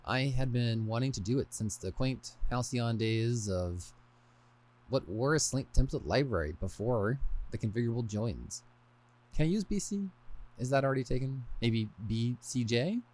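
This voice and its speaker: A man's voice: medium pitch, normal speed, darkish tone. A man hosting a podcast and reading aloud from a book he wrote, in a scripted style with wry, self-deprecating touches. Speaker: podcast host and author.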